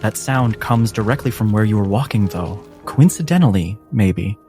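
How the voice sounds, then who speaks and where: A man acts as game master for a tabletop game. His voice is on the low side, and he narrates atmospherically with deliberate, dramatic pauses. Music plays faintly underneath. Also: animated, darkish tone.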